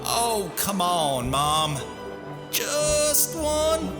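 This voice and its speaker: A man's voice: high-pitched, big pitch swings, extremely slow, over music, heavily acted. A man playing a playful, easygoing grandfather in audio drama dialogue. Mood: playful, coaxing.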